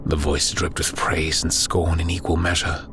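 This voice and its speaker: Deep-voiced man narrating gravely, little inflection. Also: little pitch movement, moderate pace, noisy background. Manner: grave, solemn. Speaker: audiobook narrator. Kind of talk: dramatic scripted horror war narration.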